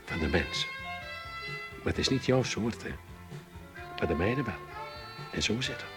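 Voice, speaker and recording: An actor playing a sociable middle-aged husband in a radio drama, theatrical and emphatic. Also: male voice, on the low side, animated, moderate pace, lightly acted. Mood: coaxing, jovial. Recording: music behind the voice.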